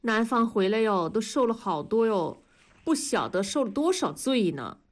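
A woman's voice: on the high side, sing-song, moderate pace. A woman voicing an old grandmother from Chongqing who speaks with a Sichuan accent and grumbles and nags. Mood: aggrieved. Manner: grumbling, complaining.

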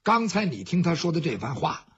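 A storyteller voicing a gentle young male scholar who speaks kindly and calmly, like a teacher. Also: fairly high pitch, highs cut off, a little hiss or hum, voice pushed down. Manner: gentle, lecturing, unhurried.

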